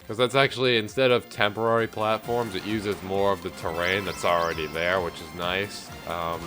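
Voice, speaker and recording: Male let's-player, congested from a cold, low voice, rambling casual commentary, loud game music underneath. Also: normal speed. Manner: rambling, relaxed, lots of fillers. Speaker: gameplay commentator.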